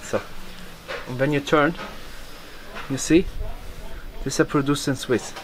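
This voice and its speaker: A man with a Turkish accent giving an informal sales pitch as a vendor, in short phrases of non-native English. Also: steady tone, takes it slowly, rough recording. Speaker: market vendor. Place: covered bazaar and watch shop. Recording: loud bazaar noise, handheld camera.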